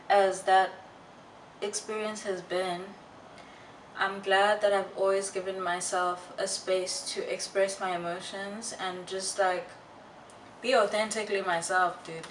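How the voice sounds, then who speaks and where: A young woman vlogger chatting casually to her viewers in a candid, halting, reflective way with slangy asides. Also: mid-range voice. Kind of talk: casual personal chat to viewers. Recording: roomy, distant mic, faint noise.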